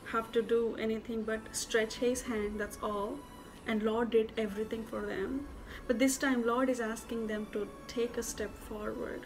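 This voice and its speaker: A woman's voice: fairly high pitch, rough recording. A young woman sharing a Bible teaching to camera in an earnest, informal way with many fillers, in an indoor room. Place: indoor room with plain walls.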